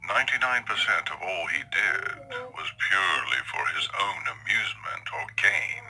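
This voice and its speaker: A man's voice: low voice, lively intonation, normal speed, bright, forceful tone, slightly rough, rough recording. A man narrating a story in a dramatic, scripted style. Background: faint music.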